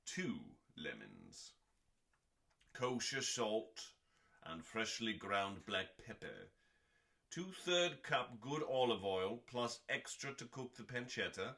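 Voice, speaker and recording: A man reads recipes aloud with theatrical weight. His delivery is slow and deliberate, broken into short phrases with clear pauses. The voice is bright and forceful, with dramatic rises and falls and the odd deadpan aside. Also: lowish voice. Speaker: host reading cookbook recipes aloud.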